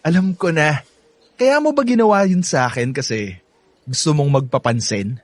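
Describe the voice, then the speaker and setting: Cocky filipino playboy, real high voice, all over the place, dry sound, mocking radio drama. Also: male voice, lively intonation, unhurried, dry recording. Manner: arrogant, mocking. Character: cocky, indignant young womanizer, sneering and taunting.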